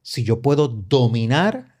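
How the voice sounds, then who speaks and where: A man in a podcast discussion with an ordinary middle-pitched voice. He speaks in a measured way and sets out his points formally, one step at a time. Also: very animated, darkish tone. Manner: measured, lays out arguments formally. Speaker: podcast co-host. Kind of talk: casual church discussion.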